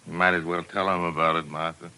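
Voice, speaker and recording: An actor playing a flippant, heartless brother with an arch, mock-grand manner in 1940s radio drama style. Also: male voice, low voice, little pitch movement, fast, sharp tone, clean tone, exaggerated voice.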